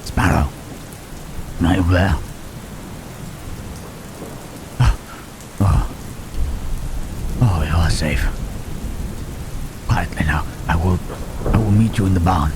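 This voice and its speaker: Gentle lonely creature played by a man, very low voice, slow halting delivery, ASMR roleplay, rain and thunder behind. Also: noisy background. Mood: tender, urgent. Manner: halting, hushed, careful speech with long pauses. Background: rain and thunderstorm ambience.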